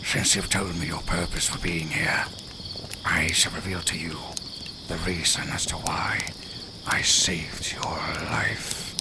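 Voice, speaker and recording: A man playing a cunning, proud lone werewolf in an audio roleplay, speaking formally and deliberately with a menacing edge. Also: low voice, flat delivery, whispered. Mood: measured, secretive. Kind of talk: slow theatrical audio roleplay.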